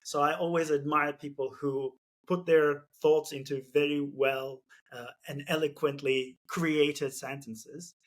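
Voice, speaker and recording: A man's voice: on the high side, fairly even intonation, unhurried. A man from Turkey, speaking English with a Turkish accent, hosting a casual business podcast and thinking aloud with many fillers and restarts. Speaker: podcast host.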